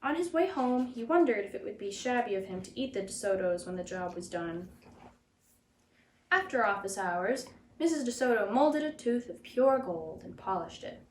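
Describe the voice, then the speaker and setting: A woman narrating a children's story as a reader, in an expressive storytelling style. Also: medium pitch, normal speed. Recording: echoey room, faint background noise.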